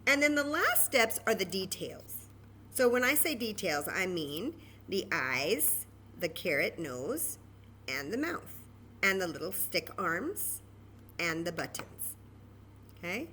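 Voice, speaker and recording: A woman's voice: medium pitch, big pitch swings, slow, sharp tone, slight background noise, rough recording. A woman presenting a children's story time craft, explaining each step informally and patiently and repeating key words.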